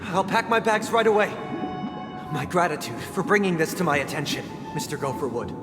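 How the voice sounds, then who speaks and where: A man's voice: fairly high pitch, lively intonation, brisk, natural voice. A man playing an earnest, hot-blooded, protective young man in a video game, with an urgent yet polite manner. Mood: angry, urgent. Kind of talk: scripted video game dialogue. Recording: echoey hall reverb, music behind.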